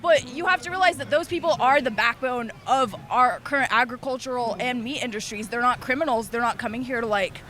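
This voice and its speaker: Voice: mid-range voice, fairly quick, hardly any pauses, pushed and bright. A young adult arguing back defiantly in a heated street interview, swearing now and then, outdoors on a city street.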